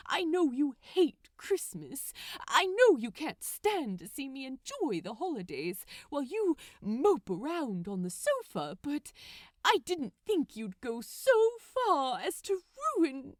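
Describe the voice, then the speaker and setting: Casting: female reader as a prim male angel in an audiobook tale, hurt and reproachful, high voice, swooping intonation. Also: huge pitch swings, slow pace, dry recording, studio quality, exaggerated voice. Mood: hurt, accusing. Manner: reproachful, pained. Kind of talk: dramatized fan fiction reading.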